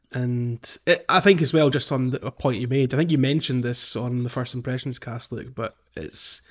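Guy on a gaming podcast, bit muffled, mid voice, kinda rambly and thoughtful, casual chat. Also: medium pitch. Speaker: podcast co-host.

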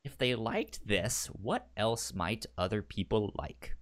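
A man hosting a casual book discussion show, talking informally and haltingly with a stutter that repeats sounds and syllables. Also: on the low side, sing-song. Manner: halting, informal, thinking aloud. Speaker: podcast host.

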